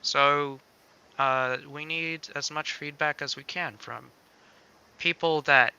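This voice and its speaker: Male game developer, casual livestream co-host. Very bright, forward voice with a clean, smooth edge. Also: a little high, fairly even intonation, slow, lo-fi sound. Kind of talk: casual demo livestream chat.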